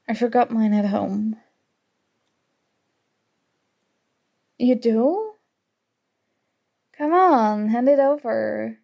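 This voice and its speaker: A woman playing a flustered, teasing young student rival in an intimate ASMR roleplay, soft-spoken, mock-annoyed and hesitant. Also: fairly high pitch, gravelly. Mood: businesslike, coaxing.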